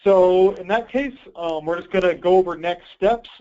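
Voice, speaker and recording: A man with a fairly high voice leads a conference call, presenting and fielding questions. He is informal and obliging. The audio is dull and low-fi, as on a group call line. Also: moderate pace, a little mellow. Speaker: host, grant program coordinator.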